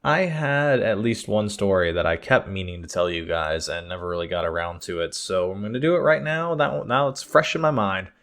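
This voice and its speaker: A man's voice: deep voice, fairly quick, hardly any pauses, fairly bright tone. A man telling personal stories casually to his online viewers, digressing, hesitating and circling back as he goes. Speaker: YouTube storyteller. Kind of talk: casual rambling personal storytelling.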